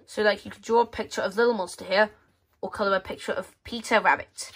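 Casual female read-aloud voice, medium pitch, halting, with offhand muttered asides. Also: fairly even intonation, slightly rough. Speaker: magazine reviewer. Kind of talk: casual read-aloud walkthrough of a children's magazine. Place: indoors at a tabletop.

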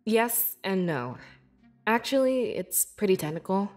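A woman playing a young, devoted beast-folk scribe in a fantasy video game, with earnest, measured and expressive delivery. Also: medium pitch. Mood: explanatory, friendly. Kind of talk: scripted video game character dialogue.

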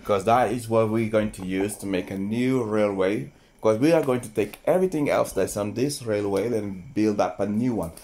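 A man hosting a toy train video, narrating slowly and casually to young viewers while he handles wooden toys in a playroom. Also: lowish voice, darkish tone, a little hiss or hum. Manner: slow, unhurried, talking to children viewers. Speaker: toy train channel host. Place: indoor playroom with shelves and toy train table.

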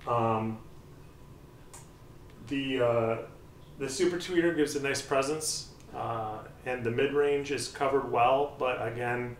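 A man's voice: lowish voice, slight background noise. A middle-aged man casually reviewing audio gear, thinking aloud with many ums and uhs, seated in a small room with speakers. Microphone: far camera on a tripod. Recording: roomy sound from a distant mic.

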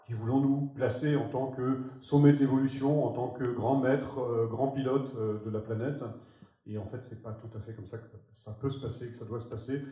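A man's voice: mid-range voice, dark tone. A man in his forties with a French accent, giving an earnest, emphatic conference keynote on a large theater stage through a headset mic. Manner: earnest, emphatic, moving around the stage and gesturing. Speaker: keynote speaker. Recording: roomy hall sound.

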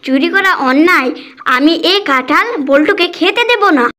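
Cartoon fox auntie, played by a woman: principled, firm, sharp high pitch. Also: animated, normal speed. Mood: reproving. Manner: moralising, firm. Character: principled motherly fox aunt, scolding gently.

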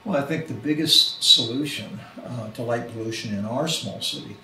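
A man's voice: mid-range voice, moderate pace, a little hiss or hum. A man in his sixties casually answering interview questions indoors in a small room. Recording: echoey room under loud music. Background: loud music.

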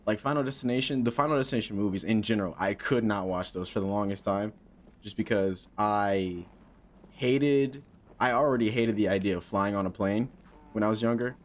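A man's voice: on the low side, brisk. A young man in his twenties streaming a horror game on a headset mic, joking nervously and talking to himself. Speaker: gaming streamer. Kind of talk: casual horror game commentary.

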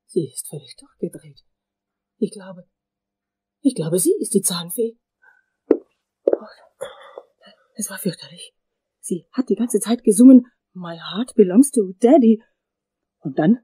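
An actress playing a vengeful, unhinged dental assistant turned killer in a German crime radio drama, with dramatic pauses. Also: female voice, medium pitch, lively intonation, warm tone, slightly rough. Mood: feigned fright. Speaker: voice actor.

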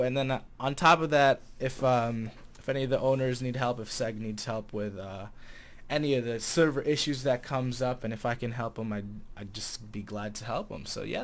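A young American man of twenty chats to his viewers in a mid-range voice. His delivery is casual and easygoing, often hesitating as he thinks out loud. He speaks into a desk microphone in a small room at home. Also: medium pitch. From Chicago area, United States. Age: 20 years old. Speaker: vlogger and game server staff manager. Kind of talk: casual vlog chat to camera. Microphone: desk condenser mic with pop filter.

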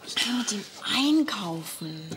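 An actress playing a bubbly, bossy young woman, bright and pushy. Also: mid-range voice, very animated, unhurried, noisy background. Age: young adult. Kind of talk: sitcom acting.

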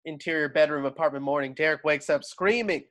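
A man's voice: fairly high pitch, brisk, fairly bright tone. A man narrating stage directions from a script at a table read over a video call.

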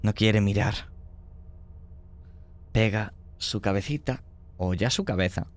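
A man narrating a horror tabletop game as game master, slow and hushed, voicing small sound effects. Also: lowish voice.

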